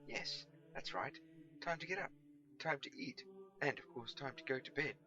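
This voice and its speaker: A man's voice: on the high side, moderate pace, dull audio, background noise, voice pushed up, close to an everyday voice. A man voicing an exasperated, cheeky young boy of today in a storybook, with impatient, colloquial talk. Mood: condescending. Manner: impatient, colloquial, mildly scornful. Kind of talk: storybook character voice.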